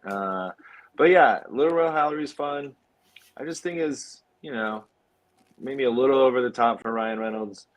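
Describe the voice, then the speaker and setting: A man hosting a film podcast in a casual, halting way, thinking aloud as he goes. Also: medium pitch.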